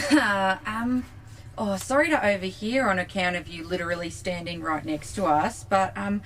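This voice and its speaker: A medium-pitched female voice from an actress for a starship counsellor in a sci-fi comedy. She is nosy and cheeky, rambling along in a mock-earnest way. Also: moderate pace, a little hiss or hum, natural voice, poor audio. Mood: nosy, eager. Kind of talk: audio comedy drama acting.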